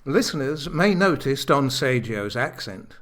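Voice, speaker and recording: Animated, theatrical male narrator. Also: mid-range voice, unhurried. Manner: theatrical, wry. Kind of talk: scripted comic narration, mock-grand.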